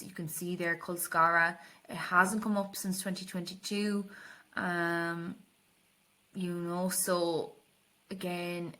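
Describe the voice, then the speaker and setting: An Irish woman speaks as a teacher walking students through exam revision. Her voice is bright and forward, sitting a little low for a woman. She explains informally, feeling her way through the points and dropping in Irish-language terms. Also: low-quality recording.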